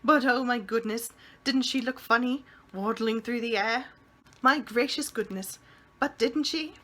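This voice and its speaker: Merry eccentric old gent voiced by a woman, bright storybook read. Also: a little high, a little slow. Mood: amused. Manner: merry, fond exclamations. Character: jolly, eccentric older gentleman.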